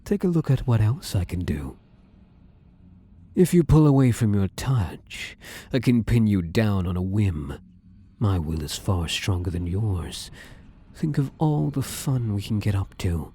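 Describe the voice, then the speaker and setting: Man voicing a low, husky possessive shadow lover. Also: warm tone. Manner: intimate, coaxing, commanding, slipping into breathy whispers. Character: possessive, domineering living shadow, male, obsessively devoted lover. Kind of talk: intimate ASMR roleplay.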